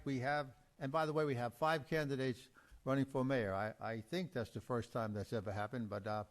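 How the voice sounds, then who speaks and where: A man acting as moderator at a candidate forum, making formal introductions and explaining procedure. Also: on the high side.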